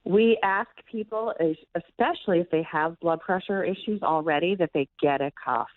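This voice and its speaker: A woman's voice: on the low side, moderate pace, dry recording. A woman physician giving explanatory answers as an interview guest over a phone-like line.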